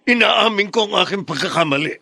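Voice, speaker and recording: Raspy, wrathful Filipino father. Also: high-pitched, lively intonation, a little fast, bright, forceful tone, no echo, heavily acted. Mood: outraged, bitter. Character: wrathful, wounded father scolding his grown son. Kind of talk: Filipino radio drama acting.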